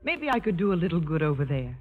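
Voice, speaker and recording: A woman's voice: low voice, sing-song, fast, husky, full character voice. An actress playing a plucky, flirtatious and proud woman entertainer in a 1950s radio drama. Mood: wry, resolute. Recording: tinny 1950s radio broadcast with music.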